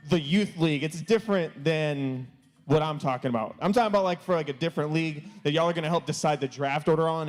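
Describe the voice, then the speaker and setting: A young man hosting a youth service live stream, chatting casually and playfully. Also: on the high side. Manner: playful, stumbling over foreign words. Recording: long church hall reverb.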